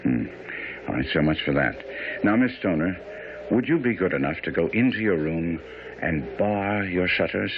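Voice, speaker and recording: A shrewd, methodical detective in a radio mystery. His low voice moves freely in pitch as he muses and gives courteous, authoritative orders. The sound is tinny and thin, like an old radio. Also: expressive. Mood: inquisitive, brisk. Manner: brisk, musing, courteous, authoritative. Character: shrewd, methodical English detective questioning and deducing. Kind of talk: radio drama acting. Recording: tinny, phone-like radio drama, noisy.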